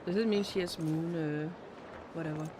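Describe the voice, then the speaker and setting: A man's voice: on the high side, steady tone, normal speed, noisy background. A man chatting casually with viewers as he streams a game, rambling and muttering distracted asides. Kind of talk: casual live gameplay chat with viewers. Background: faint game music.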